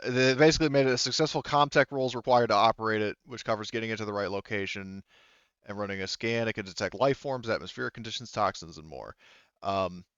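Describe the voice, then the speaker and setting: Dry, low-voiced man explaining game rules. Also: a bit bright, clear, resonant tone, no room sound, highs cut off. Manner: hesitant, self-interrupting, often double-checking. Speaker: game master.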